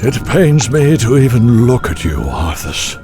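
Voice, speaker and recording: A dignified elderly archmage, stern and disdainful. His mid-range voice moves slowly and formally, with game music clearly behind it. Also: mid-range voice, sing-song. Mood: stern warning. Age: older. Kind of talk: video game fantasy acting.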